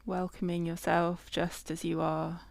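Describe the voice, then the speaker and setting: Slow, low-pitched woman guiding a meditation. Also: little pitch movement, creaky, no echo. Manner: gentle, unhurried, with long pauses. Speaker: mindfulness meditation guide. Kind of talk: guided body scan meditation, slow and spacious.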